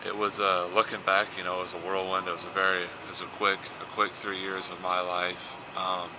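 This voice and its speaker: A young American man with a low, very monotone voice gives casual interview answers. He talks quickly, doubling back on words, with clear gym noise in the background. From Indiana. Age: 20s. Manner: repeats words, frequent "you know". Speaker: college basketball player. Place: basketball fieldhouse.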